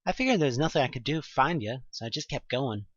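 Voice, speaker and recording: A man acting a gruff, world-weary male survivor with a salty, folksy, offhand way of talking in an amateur audio drama. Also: mid-range voice, lively intonation, fast, no echo, lo-fi sound, lightly acted. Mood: weary, wry.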